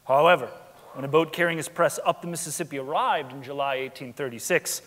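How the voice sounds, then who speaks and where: Young male stage narrator, high voice, scripted dramatic delivery, long hall reverb. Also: a little fast, fairly bright tone. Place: large theatre stage. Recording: echoing theatre stage.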